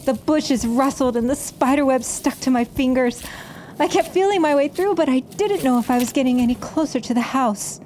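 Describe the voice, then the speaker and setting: A woman's voice: sits high, moderate pace, slight background noise, natural voice. A woman playing an embittered, defiant stage magician in an audio drama, narrating her own story with dramatic intensity. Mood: tense, brooding. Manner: dramatic, swings from hushed narration to angry outbursts. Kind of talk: audio drama acting with first-person narration.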